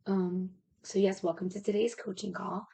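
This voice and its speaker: A woman with a raspy voice that is giving out, talking casually as a fitness coach and explaining step by step in an informal, rambling way. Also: medium pitch, flat delivery, moderate pace, warm tone. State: raspy, losing her voice.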